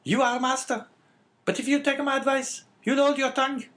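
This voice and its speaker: Shrill, bossy scolding wife. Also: male voice, fairly even intonation, normal speed, a bit bright. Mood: scolding warning. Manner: exclamatory, warning, impatient.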